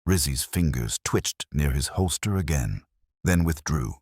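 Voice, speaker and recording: A man's voice: a bass voice, animated, a little slow, darkish tone, breathy edge, no room sound, studio quality. A man narrating a first-person detective story in a wry, hard-boiled, scripted manner. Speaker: audiobook narrator.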